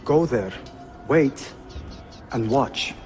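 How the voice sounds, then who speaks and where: An actor playing a courteous young Ottoman prince in a game, speaking in a formal, measured way. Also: medium pitch, animated, darkish tone, soft background music. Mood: composed. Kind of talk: dramatic game cutscene acting.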